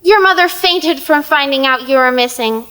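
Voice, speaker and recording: A woman's voice: high voice, normal speed, fairly bright tone. A woman playing a fretful, theatrical older lady who scolds and implores in an audio play. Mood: scolding, worried. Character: fretful, melodramatic older Mrs. Lee, pleading with gods.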